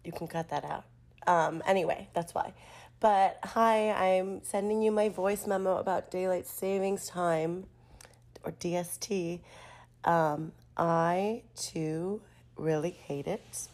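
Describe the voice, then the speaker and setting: Low-voiced woman in her thirties, stuffy with a cold, chatty voice-memo delivery. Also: slow pace, a little mellow. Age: 30s. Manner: chatty, self-deprecating, many fillers. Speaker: contributor sending a voice memo. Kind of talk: casual voice memo.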